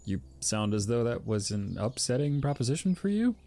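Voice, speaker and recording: An actor in an audio drama playing an earnest personified emotion, with stiff, archaic phrasing and halting delivery. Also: male voice, medium pitch, big pitch swings, mellow. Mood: gentle, formal. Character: Fear, formal earnest male personified emotion, newly calm.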